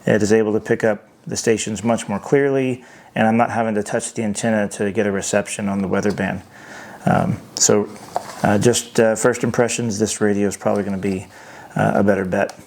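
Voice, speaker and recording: Man reviewing gadgets with a creaky voice. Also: on the low side, steady tone, darkish tone. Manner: halting, thinking aloud. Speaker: product reviewer. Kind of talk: unscripted hands-on product review.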